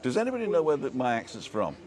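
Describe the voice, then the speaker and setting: A man from Manchester with a soft local English accent, hosting a casual live stream and chatting with viewers as he reads their comments. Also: medium pitch, huge pitch swings, fast. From Manchester, England.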